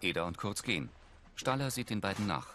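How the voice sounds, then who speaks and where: A man's voice: fairly low pitch, lively intonation, brisk, pushed and bright, a little hiss or hum. A man reading scripted, matter-of-fact audio description of screen action, in precise present-tense sentences. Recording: film soundtrack and music underneath. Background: music.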